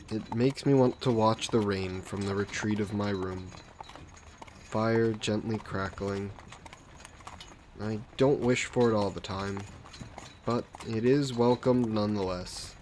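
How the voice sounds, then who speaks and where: A man playing a suave, possessive vampire lord in an intimate fantasy audio roleplay, speaking softly and coaxingly with condescending affection, as if hypnotizing a captive. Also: on the low side, slight background noise. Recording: steady background carriage and rain ambience. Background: horse-drawn carriage and rain sound effects.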